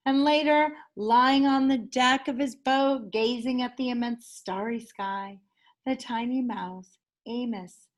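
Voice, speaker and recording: High-voiced woman reading a children's story expressively. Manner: enthusiastic, animated storytelling with dramatic emphasis. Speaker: teacher reading a picture book aloud.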